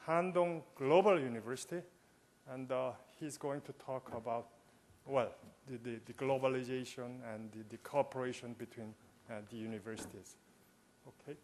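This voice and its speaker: Halting man moderating a forum. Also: mid-range voice, a little mellow. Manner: hesitant, many fillers and restarts. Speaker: session moderator, university president. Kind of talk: conference moderating. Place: large conference hall.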